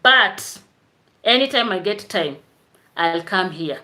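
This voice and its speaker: A woman's voice: mid-range voice, expressive, slow pace, sharp tone. A woman chatting casually on a live stream, greeting viewers by name and laughing often. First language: Swahili.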